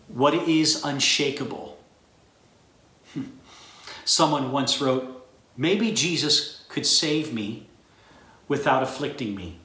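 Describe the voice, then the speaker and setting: A middle-aged male pastor preaches slowly and earnestly, taking his time with each phrase. His voice sits at an ordinary pitch. The small room gives it a noticeable roomy echo. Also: a bit bright. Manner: earnest, measured preaching delivery. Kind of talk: recorded sermon to graduates. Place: small room with a bookshelf, indoors.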